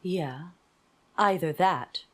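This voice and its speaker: A woman playing a composed, stern military leader with weary authority in an anime audio drama, measured and commanding. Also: fairly low pitch. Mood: frustrated.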